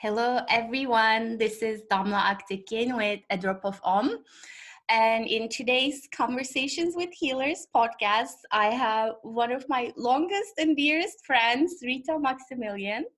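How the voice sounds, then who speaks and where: A woman's voice: fairly high pitch, unhurried, fairly bright tone. A woman hosting a podcast, upbeat and laughing often as she welcomes her guest. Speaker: podcast host. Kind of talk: podcast interview.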